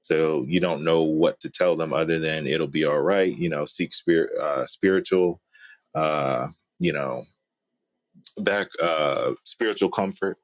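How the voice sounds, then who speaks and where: A man taking part in a casual panel discussion, talking in a tentative, halting, conversational way. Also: extremely low pitch, no echo. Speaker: panel participant.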